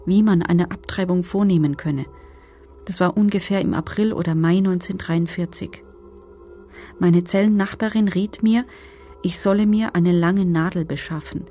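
A low-voiced woman a former prisoner giving testimony for a radio documentary drama. She speaks soberly and factually, setting out grim memories in measured sentences. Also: mellow.

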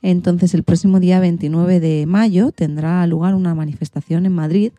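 A woman's voice: fairly low pitch, steady tone, normal speed, warm tone, dry recording, faint music behind. A woman with a Spain Spanish accent, presenting a scripted magazine radio show as its host.

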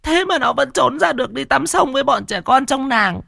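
A man voicing a stout, frantic village woman who wails and cries in a shrill, shouting voice. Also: big pitch swings, a little fast, dry recording, studio quality, exaggerated voice. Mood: wailing. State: crying.

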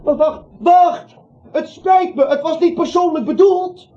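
A man's voice: extremely high pitch, a little slow, dark tone. An actor playing a nervous, bewildered little man in a radio play, dithering, stammering and repeating himself. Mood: agitated, pleading. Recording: muffled old radio recording, faint hiss.

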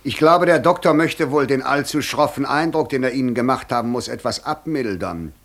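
Radio play: a courteous, sly devil, ironic, silky-mannered and mocking. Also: male voice, medium pitch, moderate pace, fairly bright tone. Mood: silky, persuasive. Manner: sly, ironic, theatrically polite. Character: suave, mocking devil posing as a courteous gentleman. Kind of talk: classic German radio play acting.

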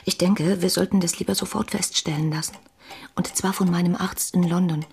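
Bossy concerned sister, lowish voice, insistent, radio play, slight noise. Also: female voice, steady tone, fairly quick, vocal fry, a little mellow. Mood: concerned, decisive. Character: concerned, decisive sister who takes charge. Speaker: radio drama actress. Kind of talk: German radio play acting.

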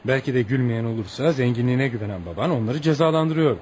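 Reflective gentleman visitor, played by an actor, polite and philosophical, quick delivery, medium pitch, slightly noisy radio play. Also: natural voice. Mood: gently probing. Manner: measured wording, gently probing and philosophical. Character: courteous, reflective gentleman visitor hearing a girl's story. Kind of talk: radio theatre acting.

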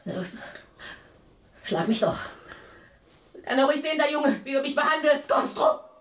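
An actress playing a landowner's bitter, drink-craving wife in a radio play, scornful and taunting. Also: female voice, high voice, lively intonation, normal speed, distant mic. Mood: bitter, goading. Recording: roomy, set back from mic.

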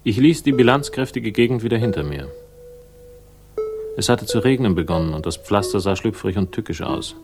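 A low-voiced, world-weary private investigator in a 1960s German radio crime play. He talks in a laconic, sardonic way, with dry wit, and music plays clearly behind him. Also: animated, moderate pace. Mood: bleak, reflective. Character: world-weary, dry-witted male investigator narrating his own case. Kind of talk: 1960s German radio crime drama with first-person narration.

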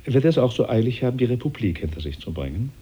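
Talleyrand, husky, suave schemer. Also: male voice, medium pitch, big pitch swings, warm tone. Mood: ironic. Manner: urbane, ironic, unhurried. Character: Talleyrand, suave, cynical, scheming French statesman. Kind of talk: German radio play acting, stage-like dialogue.

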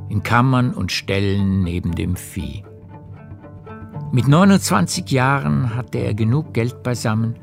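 A male narrator tells a literary story for radio. His voice is low with a marked creak, and he phrases deliberately. Soft music sits faintly behind him.

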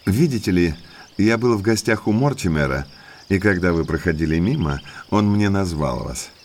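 A man's voice: deep voice, expressive, normal speed, a little mellow, a little hiss or hum. An actor playing an affable, inquisitive country naturalist in his thirties in an audio drama, courteous, talkative and slyly probing. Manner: courteous, talkative, insinuatingly polite.

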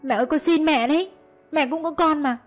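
A woman's voice: sits high, fairly quick, voice pushed up, close to an everyday voice. A woman voicing a desperate young mother, tearful and imploring, in a dramatic audio story with music behind. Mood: desperate pleading.

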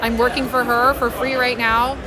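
A woman's voice: on the high side, little pitch movement, normal speed. A woman being interviewed at a busy convention, chattily telling a personal story and acting out bits of dialogue. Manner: chatty, acts out quoted dialogue. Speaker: interview guest, editor in training. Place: busy public convention space. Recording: busy public space with loud music, chatter. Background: music and crowd chatter.

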